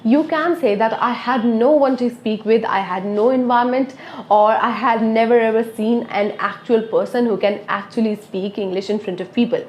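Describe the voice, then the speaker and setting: A woman with an Indian accent teaches spoken English in an earnest, coaxing way, tagging her points with "okay?". Her voice sits fairly high. The recording has an echoey room sound. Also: moderate pace, darkish tone, slight background noise. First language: Hindi. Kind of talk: motivational video lesson.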